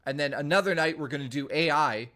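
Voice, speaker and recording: Bright-voiced hoarse male streamer. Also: on the high side, quick pace. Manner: rambling, thanking viewers by name. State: hoarse, losing his voice. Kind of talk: casual stream sign-off.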